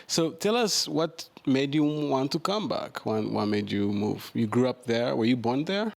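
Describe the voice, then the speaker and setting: A man hosting a podcast interview, asking gentle questions and affirming his guest with frequent murmurs. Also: mid-range voice, big pitch swings, measured pace, creaky. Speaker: podcast host. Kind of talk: interview hosting and scripted intro.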